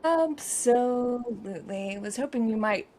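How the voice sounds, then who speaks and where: A woman co-hosting a comedy podcast, talking in a chatty, sarcastic way with quick asides. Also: on the high side, expressive, darkish tone, a little hiss or hum. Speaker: podcast co-host. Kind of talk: comedic casual chat.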